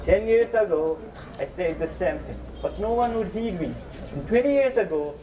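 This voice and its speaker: Bitter, put-upon father in a school stage play, played by a man: high voice, declamatory, distant noisy recording. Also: darkish tone. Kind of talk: amateur stage play acting.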